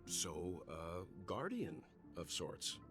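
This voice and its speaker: A man voicing a calm, thoughtful, mature gentleman mentor in an anime game, with measured delivery. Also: sits low, over music, full character voice.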